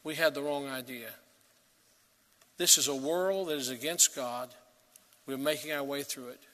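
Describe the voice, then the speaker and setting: A man preaching a sermon as pastor, earnest and emphatic, swelling to loud peaks and dropping to quiet. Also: mid-range voice, unhurried, long reverb tail.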